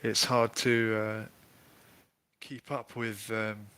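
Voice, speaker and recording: A man's voice: on the low side, flat delivery, takes it slowly, pushed and bright, clear, resonant tone. A man hosting a casual live stream over a video call, with jokey, wry asides. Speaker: host.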